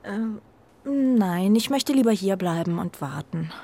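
A woman playing a homesick, loyal carrier pigeon in a children's radio play, speaking in a dreamy, hesitant, breathy way. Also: medium pitch, animated, a little slow, mellow. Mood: sad, hesitant.

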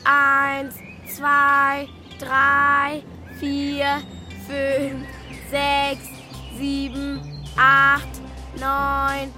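A woman's voice: high-pitched, monotone, very slow, pushed and bright, faint music behind, heavily acted. A female performer playing a patient young girl in a children's radio play. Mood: chanting.

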